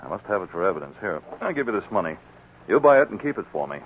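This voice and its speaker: An actor playing a resolute Mountie sergeant who gives firm, confident orders in a 1940s radio drama. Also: male voice, low-pitched, sing-song, fast talker. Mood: firm, instructing. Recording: muffled, noisy 1940s radio recording.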